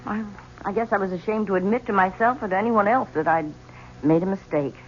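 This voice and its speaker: An actress playing a guilt-ridden estranged wife who speaks softly and hesitantly. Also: female voice, mid-range voice, a little fast, natural voice. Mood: guilty, gentle. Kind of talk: 1970s radio drama acting. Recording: dull old radio recording with faint hiss.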